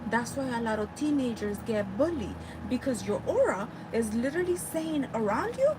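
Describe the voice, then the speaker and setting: Salvadoran-accented woman, spanish native, high voice, teaching spiritual stuff, lots of noise and cars behind. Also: normal speed. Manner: animated, addresses listeners directly. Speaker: spiritual healer.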